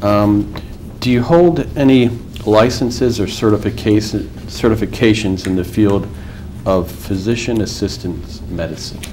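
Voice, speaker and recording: A man questioning a witness as an attorney in a formal deposition, measured with filler pauses. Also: lowish voice, a little mellow, slight background noise, poor audio.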